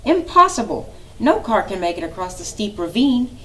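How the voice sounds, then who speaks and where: A woman voicing a helpful, thoughtful female spider in a storybook reading, in a high animated voice. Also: normal speed, far from the mic. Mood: lively.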